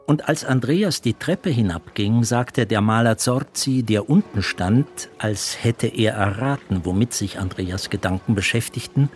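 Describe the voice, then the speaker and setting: A man's voice: lowish voice, a little slow, hardly any pauses. Male literary narrator over faint music. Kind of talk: scripted literary narration in a radio drama.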